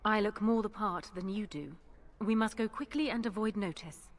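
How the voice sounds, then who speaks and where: A poised royal lady in peril, acted by a woman for a fantasy game. She speaks at a middling pitch, composed and commanding, with an urgent edge. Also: normal speed, natural voice. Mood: guarded urgency. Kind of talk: dramatic video game acting.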